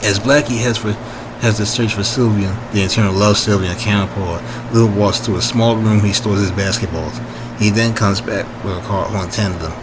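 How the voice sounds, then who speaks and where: A man reading his own story informally, haltingly, with asides and frequent rereading to correct himself. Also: lowish voice, steady tone, noisy background.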